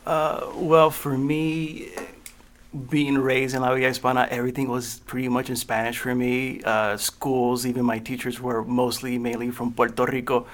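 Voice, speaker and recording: Man with flat, halting delivery. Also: mid-range voice. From Cleveland, Ohio, USA. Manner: halting, many "uh" fillers. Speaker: panelist. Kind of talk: casual panel discussion.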